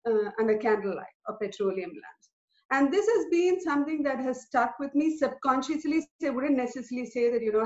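A woman from India, a company vice president, answering interview questions at home over a video call, reflective and full of "you know" fillers. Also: a little high. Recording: roomy, dull lo-fi video call audio.

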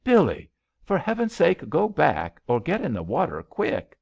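A male narrator acts out a worldly young man from an old love story, protective and a bit jealous. He sounds wry and cautioning, with lively pitch. The recording is slightly dull. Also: measured pace, creaky, full character voice. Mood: alarmed, cautioning.

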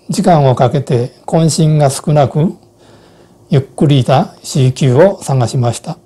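A man in his sixties reading a scripted account and instructions in a measured, formal way. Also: a little high, warm tone. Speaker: co-host of an amateur radio Morse code channel. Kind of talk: scripted personal narration and instruction.

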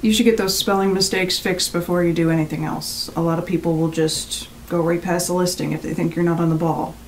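An actress plays a wary woman staying at a rental in an audio drama. Her voice is fairly low, with terse, blunt, reserved delivery. A little noise and ambience runs underneath. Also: steady tone, brisk, lightly acted, low-quality recording. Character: guarded, blunt, faintly uneasy female rental guest. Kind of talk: naturalistic audio drama acting.

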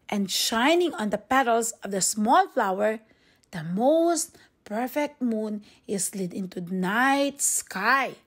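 Woman reading a kids book out loud, slow n expressive, bit husky. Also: on the high side. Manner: expressive, deliberate storytelling for young children. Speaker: storybook reader, channel host. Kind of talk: children's read-aloud narration.

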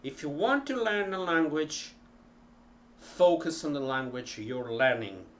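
A middle-aged man with an Italian accent, talking casually to a webcam about learning languages, slow and full of ums and restarts. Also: on the high side, expressive, a bit bright, slight background noise. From Italy. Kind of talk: casual talk to camera. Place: cluttered home music room.

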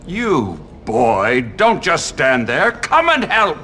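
Imperious, sinister old schoolmaster for a game, theatrical, bright forceful tone, extremely deliberate. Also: male voice, a little high, huge pitch swings, a little hiss or hum, heavily acted. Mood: impatient. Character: eccentric, sinister old male biology teacher. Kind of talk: video game cutscene acting.

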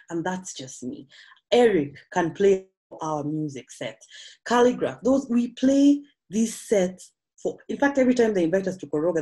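A woman with a Kenyan English accent speaks in a low, mellow voice. She chats freely and animatedly, stopping and restarting her thoughts as she answers questions. She is heard over a laptop video call from a room at home. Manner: animated, gesturing hands, chatty with frequent restarts. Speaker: musician guest speaker. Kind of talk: casual talk answering audience questions. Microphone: video call laptop camera.

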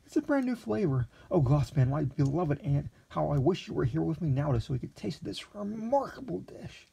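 A man's voice: on the high side, sing-song, moderate pace, vocal fry, warm tone, breathy edge, voice pushed up, heavily acted. A man playing a gushing naive young gourmet. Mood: rapturous. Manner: gushing, breathless exclamations.

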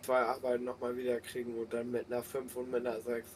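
A man's voice: mid-range voice, completely flat delivery, quick pace, a little hiss or hum. A man in a gaming call, talking offhandedly and complaining casually. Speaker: co-player.

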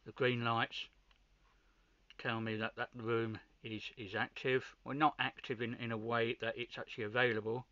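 A man giving an informal hands-on video demonstration, pausing between short phrases, with muffled sound. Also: medium pitch, little pitch movement, bright, forceful tone, dry recording. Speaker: amateur radio hobbyist presenter.

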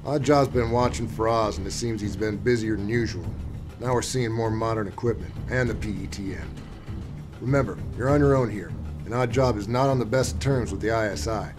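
Casting: male actor as gruff, low-pitched special-ops boss, calm authority, clipped slang, game briefing over music. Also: close to an everyday voice. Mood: calm, firm. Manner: terse, measured, slangy, authoritative. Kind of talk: dramatic game voice acting.

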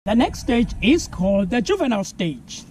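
A man in his thirties with a South African accent, giving a slow, clear science lesson for children outdoors, asking viewers to spell words. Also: high voice, very animated, a little mellow, a little hiss or hum. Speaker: environmental educator. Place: outdoors on a lawn.

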